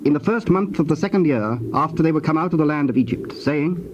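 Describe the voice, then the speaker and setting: A man narrating dramatized scripture in a formal, measured manner. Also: a little high, animated. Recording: dull, noisy cassette tape.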